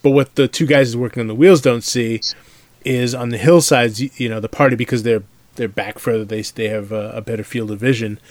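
A man in his late forties co-hosting a gaming podcast, speaking haltingly and often repeating himself as he thinks aloud. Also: mid-range voice, steady tone, darkish tone, no room sound. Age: 40s. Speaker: podcast co-host. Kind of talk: casual chat.

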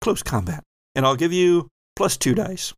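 A man running a tabletop role-playing game as game master, improvising vivid descriptive narration with thoughtful pauses. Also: on the high side, expressive, dry recording, studio quality.

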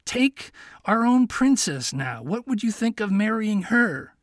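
A crafty male fox schemes and coaxes, as a man puts him on for a storybook reading. His voice sits a little high and swoops with lively movement. The sound is close and dry. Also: measured pace, dry recording. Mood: persuasive. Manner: coaxing, confiding. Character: clever scheming male fox, smooth talker.

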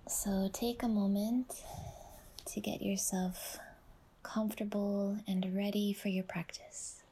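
A woman guiding a relaxation meditation in a soft, whispery, soothing voice, unhurried and leaving long pauses between phrases. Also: medium pitch, flat delivery, a little hiss or hum. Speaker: yoga nidra guide and podcast host.

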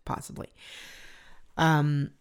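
A middle-aged woman with a deep, resonant voice hosting her own podcast, rambling in a chatty, self-deprecating way. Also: slow. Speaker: podcast host. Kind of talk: casual solo podcast talk.

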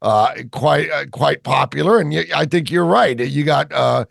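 A man with a very gravelly, slightly high voice chats keenly as a talk radio host. The sound is close and dry, with no room. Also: moderate pace, no room sound. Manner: chatty and enthusiastic.

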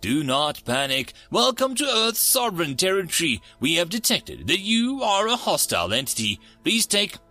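Man imitating a high, courteous automated hold message. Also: huge pitch swings, moderate pace, hardly any pauses, sharp tone, soft background music, heavily acted. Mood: bland courtesy. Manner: scripted, customer-service cadence. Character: polite automated hold-message system, calm, impersonal, relentlessly courteous.

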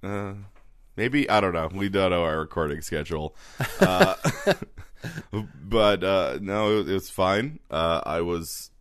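A man with a very deep voice wanders through a self-mocking story, stumbling over restarts as he goes. The recording is close and dry. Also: lively intonation, takes it slowly, fairly bright tone, smooth, no room sound. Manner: rambling, full of fillers and restarts, self-mocking. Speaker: podcast co-host. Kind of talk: casual podcast banter. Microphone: studio podcast mic.

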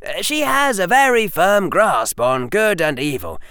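A man's voice: high voice, big pitch swings, unhurried, sharp tone, smooth, no echo, studio quality, pitched above the performer's own voice, full character voice. A man voicing a clever, mild-mannered teenage boy wizard who sounds innocent but sly.

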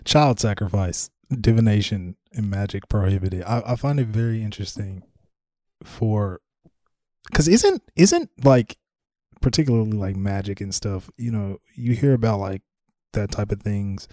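A man's voice: fairly low pitch, lively intonation, unhurried, mellow, no echo, studio quality. A man with an American accent, chatting casually as a podcast co-host and tentatively working toward his point. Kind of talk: casual conversation.

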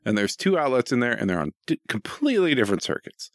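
A man's voice: mid-range voice, big pitch swings, fast, dry recording, clean studio sound. A middle-aged American man chatting casually as a podcast co-host, rambling and self-deprecating, with some easy swearing. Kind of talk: casual chat.

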